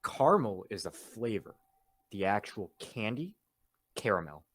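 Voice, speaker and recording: Young guy w a half southern half northern accent, lively up and down voice, laughs a lot. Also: on the low side, unhurried, breathy edge. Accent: mixed Southern and Northern US. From Jacksonville. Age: young adult. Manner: animated, laughs often. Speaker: podcast co-host. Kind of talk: casual podcast chat.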